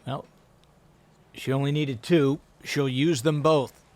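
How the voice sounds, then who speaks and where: A man doing play-by-play commentary for a televised golf broadcast, measured and steady. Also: mid-range voice. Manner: measured, builds up excitement when announcing a winner.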